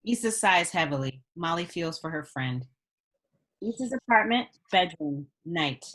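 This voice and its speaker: Lowish-voiced woman narrator. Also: lively intonation, a little slow, fairly bright tone. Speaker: stage directions reader. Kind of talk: scripted narration.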